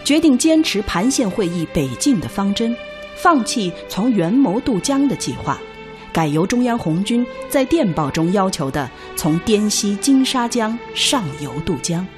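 A woman's voice: medium pitch, very animated, a little slow. A woman giving formal, declamatory scripted narration for a historical drama. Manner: solemn, declamatory. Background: background music.

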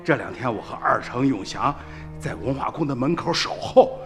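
An actor playing a middle-aged village uncle in a radio drama, urgent and principled, barking orders and exclaiming. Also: male voice, mid-range voice, lively intonation, moderate pace, a bit bright, long reverb tail, faint music behind, natural voice. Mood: firm, insistent.

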